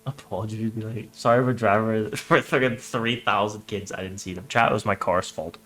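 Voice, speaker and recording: A man's voice: on the low side, steady tone, fairly quick, fairly bright tone. A man doing a casual livestream reaction, reading captions aloud and commenting in a joking, irreverent, sweary way. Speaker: streamer reacting to horror videos.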